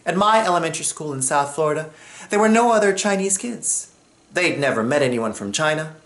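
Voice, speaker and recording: A man from Jamaica telling a personal story on stage, expressive and acting out what other people say. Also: fairly high pitch.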